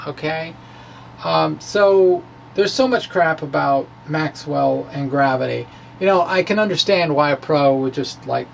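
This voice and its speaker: A man giving an informal spoken science explanation in a halting, rambling, colloquial manner with wry asides. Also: high-pitched. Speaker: amateur physics blogger presenting his work. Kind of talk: informal spoken physics explanation, dramatic reading of his blog. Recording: clear background noise.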